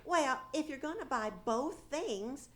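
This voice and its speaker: A woman's voice: high-pitched, expressive, slow. An actress playing a folksy, puzzled and mildly exasperated mother in an old-time radio comedy.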